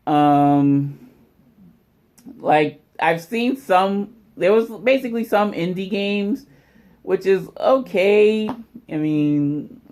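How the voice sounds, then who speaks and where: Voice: mid-range voice, darkish tone. A vlog host chatting casually and informally to viewers. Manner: informal, addresses viewers as y'all. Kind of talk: casual unboxing vlog commentary.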